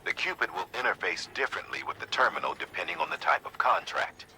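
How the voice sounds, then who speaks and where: Formal game official, low-pitched, hard bright edge, measured explanatory briefing, authoritative. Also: male voice, animated, a little hiss or hum, exaggerated voice. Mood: matter-of-fact. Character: authoritative male organization official briefing a courier by radio. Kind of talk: expository video game briefing.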